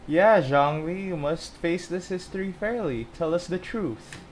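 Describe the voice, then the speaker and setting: Male gaming streamer: casual, exclamatory reactions, lively swings in pitch, slight background noise. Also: a little slow. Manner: exclamatory, echoes the game's dialogue.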